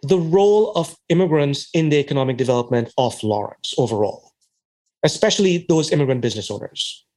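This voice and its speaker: A man's voice: a little high, expressive, brisk, a little mellow. A man hosting an interview podcast, enthusiastic and affirming, pushing up on key words. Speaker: podcast host. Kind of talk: conversational interview.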